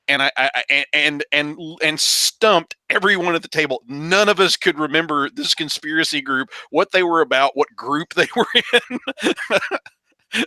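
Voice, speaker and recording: A man talking casually as a podcast panelist, halting and repeating words as he gets going. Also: on the high side, sharp tone, dry recording. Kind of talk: casual anecdotal roundtable chat.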